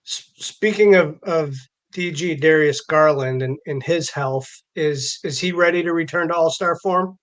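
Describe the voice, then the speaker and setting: A man with a fairly high voice works as a sports show co-host. He talks casually, setting up and asking interview questions. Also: measured pace, few pauses.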